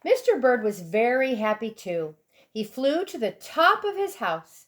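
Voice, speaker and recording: A woman with a high voice reads a storybook aloud to young children. Her pace is slow and deliberate, and she gives phrases expressive, dramatic emphasis. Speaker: storybook reader.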